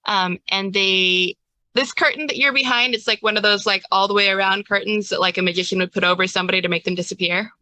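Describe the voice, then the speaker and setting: Casting: female game master, bright forceful voice, improvised narration, close dry sound. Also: medium pitch, fairly even intonation, fast, dry recording, rough recording.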